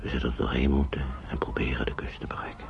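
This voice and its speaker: Deep-voiced kindly uncle. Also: male voice, little pitch movement, fast, whispered. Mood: worried, musing. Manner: gentle, reassuring, patient with children. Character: kindly worried uncle shepherding refugee children through wartime. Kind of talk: radio drama acting. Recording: dull, noisy old radio play recording.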